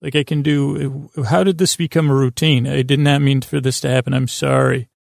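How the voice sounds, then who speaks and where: A man with a creaky, gravelly voice hosting a sleep podcast in a meandering, halting monologue that keeps interrupting itself. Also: mid-range voice, flat delivery, a little mellow, dry recording, clean studio sound. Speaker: podcast host.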